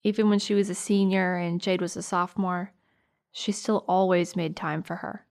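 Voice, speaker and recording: A young American woman playing a sympathetic, earnest high school girl recalling a friend in a naturalistic audio drama. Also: little pitch movement, moderate pace, darkish tone, lightly acted. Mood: fond, recounting.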